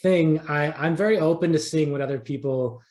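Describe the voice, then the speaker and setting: The sound is noticeably roomy, around a man with a fairly high speaking voice. He talks casually as an interview guest, rephrasing himself and working out his thoughts as he speaks. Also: moderate pace, darkish tone. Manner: conversational, thinking aloud, rephrasing as he goes. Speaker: interviewed writer.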